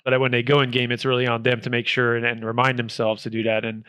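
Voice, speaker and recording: A man's voice: mid-range voice, completely flat delivery, quick pace, bright, forceful tone, clean tone, no echo. A man speaking as an esports coach in a casual discussion, thinking aloud and restarting his phrases. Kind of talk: casual round-table discussion.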